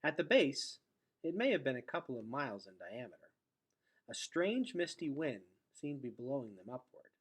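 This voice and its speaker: A man reads a children's novel aloud like a teacher to a class. His voice is husky and middling in pitch, with big melodic swings. He shapes phrases dramatically, often letting them sink into a hush. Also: sing-song, normal speed. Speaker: teacher reading aloud to students. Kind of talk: expressive storybook read-aloud.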